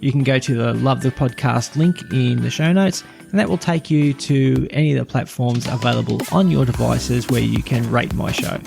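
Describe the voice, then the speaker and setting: A man's voice: medium pitch, normal speed, creaky, few pauses, a little mellow, faint music behind. A man hosting a podcast, reading a scripted review in a presenter's style.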